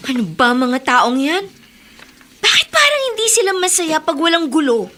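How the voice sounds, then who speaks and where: A woman's voice: sits high, sing-song, moderate pace, exaggerated voice. An actress playing a hopeful young woman with a prosthetic leg, musing aloud in a radio drama. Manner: inner-thought narration, soft and musing.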